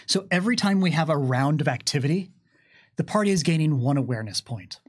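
A man in his thirties narrating a tabletop game and explaining its rules in a conversational, animated way. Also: on the high side, fast, slightly rough. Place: small curtained studio, indoors. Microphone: microphone on desk boom arm.

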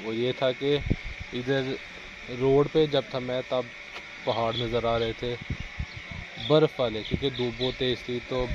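A man in his thirties speaks with a tinge, chatty and teasing as he explains chores to his viewers. He is outdoors on a village rooftop with a phone held at arm's length, and the audio picks up clear background noise. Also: medium pitch, muffled recording. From Pakistan. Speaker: village vlogger. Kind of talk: casual vlog narration to camera. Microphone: phone camera at arm's length.

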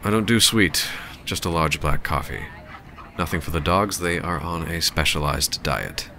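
An actor playing a menacing, aloof male scientist in an audio drama, curt, measured and condescending. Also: very deep voice, pushed and bright, slight background noise, heavily acted. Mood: cold, curt.

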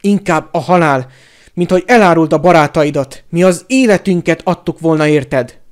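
A man's voice: a little high, clear, resonant tone, close to an everyday voice. A man voicing a vengeful, furious adult man betrayed by his friend, with snarling, accusing delivery in an audiobook. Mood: roaring anger. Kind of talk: audiobook character acting.

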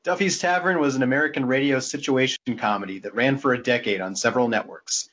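A man's voice: medium pitch, quick pace, fairly bright tone, lo-fi sound. A man co-hosting a podcast, reading scripted informational narration. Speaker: podcast co-host.

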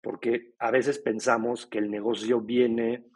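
A man's voice: medium pitch, little pitch movement, measured pace, breathy edge. A middle-aged man, a company co-founder answering interview questions in a fluent, explanatory way. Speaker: podcast company co-founder, guest. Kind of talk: casual interview talk.

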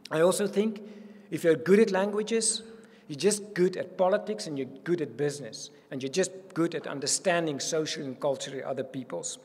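South African man, husky earnest speech. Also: on the high side, moderate pace. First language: Afrikaans. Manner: earnest and animated, gesturing widely. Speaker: university provost. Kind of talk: welcome speech. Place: reception hall with curtains. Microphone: podium microphone. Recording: long hall reverb.

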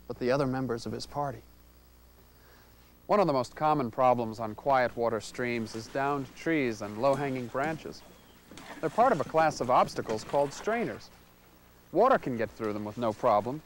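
Measured male canoeing instructor. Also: medium pitch. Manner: clear, measured, explanatory. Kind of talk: instructional narration.

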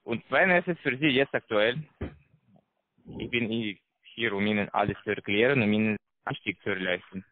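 A man with a foreign accent, not a native German speaker, giving a halting, repetitive telephone sales pitch as a call center salesman. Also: no echo. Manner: halting, repetitive, broken grammar. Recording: tinny narrow phone line.

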